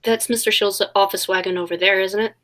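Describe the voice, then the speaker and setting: An actress playing a trusting, polite heroine in a 1940s-style radio detective play, speaking earnestly. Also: female voice, mid-range voice, steady tone, brisk, fairly bright tone, a little hiss or hum, lightly acted. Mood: innocent.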